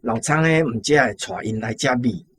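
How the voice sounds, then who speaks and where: An actor with a Taiwanese accent playing a gossipy, streetwise shop boss who explains things confidingly in a radio drama. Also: male voice, medium pitch, normal speed, fairly bright tone, slight background noise, close to an everyday voice. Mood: confiding, pitying.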